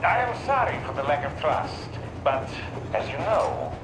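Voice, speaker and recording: A man's voice: on the high side, very animated, unhurried. A man voicing a fussy, suspicious army major over the radio in a video game, hesitant, officious and stilted. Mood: wary, flustered. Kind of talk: dramatic video game acting. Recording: noisy, roomy radio transmission.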